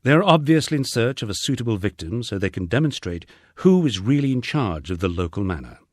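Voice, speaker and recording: A middle-aged man narrating a humorous country memoir as an audiobook, with measured, expressive storytelling. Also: medium pitch, dry recording, clean studio sound.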